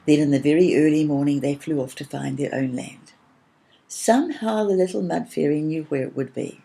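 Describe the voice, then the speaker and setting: Female children's storyteller: low-pitched, soft-edged mellow tone, gentle, expressive delivery. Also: moderate pace, creaky.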